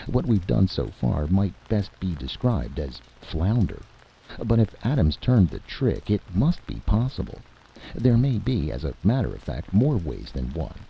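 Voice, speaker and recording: Guy doing a quiet earnest scientist, formal n measured, low voice that swings up and down, story reading. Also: sing-song, gravelly, muffled recording, slight background noise, heavily acted. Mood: earnest, frustrated. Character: earnest physicist, quiet man, frustrated but persistent researcher.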